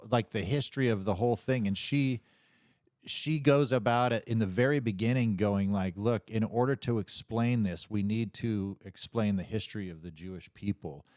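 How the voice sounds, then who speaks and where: Male podcast co-host, lowish voice, close dry sound, loose halting explanations in slangy casual talk. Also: a little mellow, no echo. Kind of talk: casual chat.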